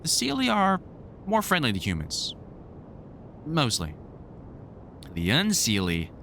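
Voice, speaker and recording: A man acts out an older male vampire hunter in a conversational audio drama. His mid-range voice rises and falls freely as he reassures and cautions like a protective, wry mentor who swears casually. A faint low rumble sits behind the voice. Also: a bit bright. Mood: wry, explaining. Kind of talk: one-sided audio roleplay, conversational comfort scene.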